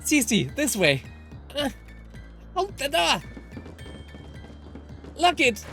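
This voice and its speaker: A woman voicing an urgent, bossy adventurer in a visual novel, shouting frantic commands. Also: high-pitched, very animated, extremely slow, voice pushed up, full character voice. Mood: frantic, commanding. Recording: music behind the voice.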